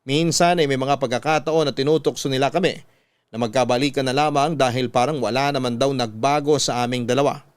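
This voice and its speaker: A man with a Filipino accent reading out a young woman's love letter as scripted radio narration, measured and heartfelt. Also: medium pitch, clear, resonant tone, no room sound, lightly acted.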